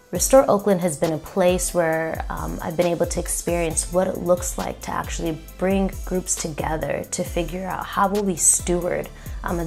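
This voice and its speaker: A woman's voice: fairly low pitch, gravelly, a little mellow. A woman community organizer telling a personal story, reflective and earnest, with frequent ums.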